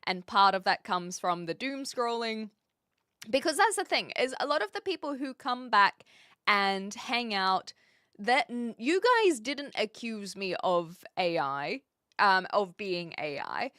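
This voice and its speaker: Female streamer from New Zealand, bright, chatty tone, dry close mic, rambling casual talk with viewers. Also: mid-range voice, lively intonation, unhurried, dry recording. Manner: chatty and rambling, with frequent restarts and fillers. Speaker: live streamer. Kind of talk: casual live-stream chat, answering viewers.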